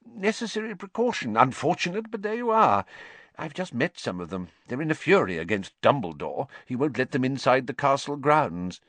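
A man's voice: mid-range voice, big pitch swings, moderate pace, few pauses, fairly bright tone, no echo, pitched above the performer's own voice. A man voicing a pompous, blustering middle-aged government minister in a storybook. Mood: uneasy, defensive.

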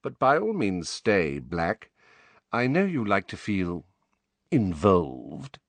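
A man narrating a storybook puts on a sneering male professor with a waspish, cutting manner. He speaks with quiet contempt and leans delicately on his words, in a very dry, close sound. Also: medium pitch, big pitch swings, unhurried, no room sound, voice pushed up.

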